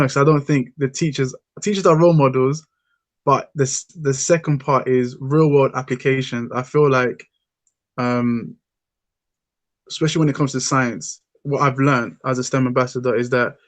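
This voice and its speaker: Host: man, even-toned, thoughtful, lots of ums, dry close sound. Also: mid-range voice, no echo. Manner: thoughtful, hesitant with many ums. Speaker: podcast host. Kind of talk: casual discussion.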